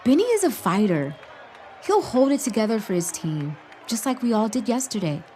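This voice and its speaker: A woman acts out a teenage high school girl for an audio drama. Her voice is high and moves around a lot in pitch. She is loyal and excitable, with faint noise in the recording. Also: moderate pace. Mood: calm, reassuring.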